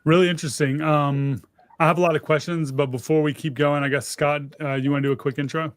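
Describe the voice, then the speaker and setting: A man's voice: fairly high pitch, fairly even intonation, quick pace, clean tone, no room sound, clean studio sound. A man hosting a tech livestream in a casual, conversational style. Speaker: host, developer relations director.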